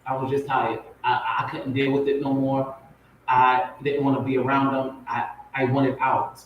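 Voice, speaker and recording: A man's voice: mid-range voice, little pitch movement, normal speed, highs cut off. A man's voice comes through very echoey and set back from the mic. He speaks as a spoken word poet giving informal personal testimony, repeating phrases for emphasis, with some noise on the recording. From raised in Compton, California and Portmore, Jamaica. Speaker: spoken word poet, guest on a talk show. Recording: very echoey, distant, noisy audio.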